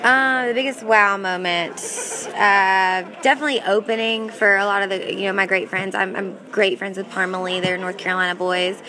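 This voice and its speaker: A woman's voice: medium pitch, steady tone, normal speed, hardly any pauses, rough recording. A young woman country singer answering interview questions in an upbeat, chatty way, often restarting her sentences. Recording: crowd audible behind. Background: crowd chatter.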